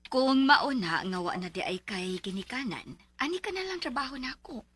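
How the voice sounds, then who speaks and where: An actress with a Filipino Bisaya accent playing a kindly, practical shopkeeper in a radio drama. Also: female voice, high-pitched, expressive, moderate pace, a bit bright, breathy edge, heavily acted. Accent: Filipino, Cebuano Bisaya. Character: kindly, practical shopkeeper woman offering help.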